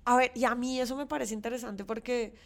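A woman's voice: a little high, fairly even intonation, brisk. A woman with a Colombian accent co-hosting a casual, informal podcast chat, often hesitating and repeating words as she thinks. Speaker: podcast co-host. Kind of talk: casual chat about pop culture.

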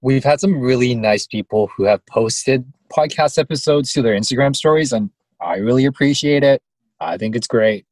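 A man's voice: medium pitch, moderate pace, darkish tone, no echo. A young man co-hosting a podcast in casual chat, sassy and teasing. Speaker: podcast co-host.

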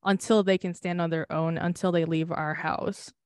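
A woman's voice: fairly low pitch, fairly even intonation, moderate pace, smooth, no room sound. Candid young woman, dry close sound. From United States. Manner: candid and rambling, swearing and laughing often. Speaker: podcast co-host. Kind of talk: casual chat.

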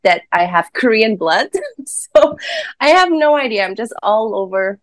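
A woman's voice: on the high side, sing-song, measured pace, dry recording. A woman from Macau, a podcast guest, chatting cheerfully and easily, laughing often. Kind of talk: casual interview chat.

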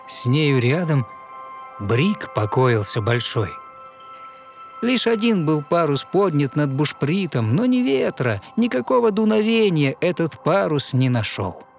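Male narrator, slightly high voice, rhythmic verse storytelling, expressive, musical backing. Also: vocal fry. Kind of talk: scripted storytelling of a fairy tale in verse. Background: music behind the voice.

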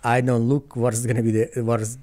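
A man's voice: mid-range voice, level tone, brisk, dark tone, a little hiss or hum. A man from Brazil with a Brazilian Portuguese accent, a guest in a casual interview, talking earnestly in English with frequent restarts.

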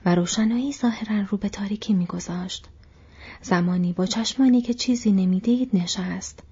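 A woman narrating an audiobook in a whispery, soft, hushed reading style. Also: medium pitch, breathy edge. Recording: dull lo-fi recording.

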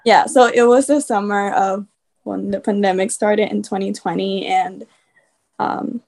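A woman's voice: medium pitch, fairly even intonation, unhurried, a little mellow. A woman, speaking earnestly as a guest on a video call. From Puerto Rico. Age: 20s. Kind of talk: casual video-call chat.

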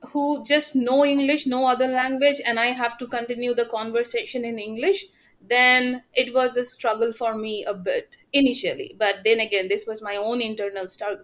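A woman with an Indian accent and a somewhat high voice talks in a relaxed podcast conversation. She is earnest and explanatory, circling back and restarting her sentences as she works through her point. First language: Bengali. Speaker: economics lecturer, guest. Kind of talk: casual chat.

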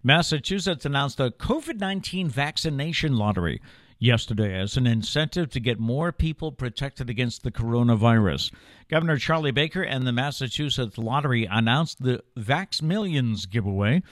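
A man's voice: mid-range voice, no room sound, clean studio sound. A man in his fifties with an American accent, hosting a morning show with news and casual talk. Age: 50s.

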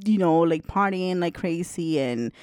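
A woman's voice: on the low side, expressive, measured pace, a little mellow, smooth, no echo. Chatty woman from El Salvador, dry sound. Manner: chatty, laughs often, many fillers like "you know". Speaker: podcast co-host. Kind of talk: casual conversational storytelling.

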